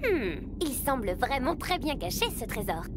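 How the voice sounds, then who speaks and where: A woman's voice: sits high, big pitch swings, normal speed, noisy background. A woman voicing a friendly, patient submarine guide in a children's cartoon, reassuring and explanatory. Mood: cheerful, helpful.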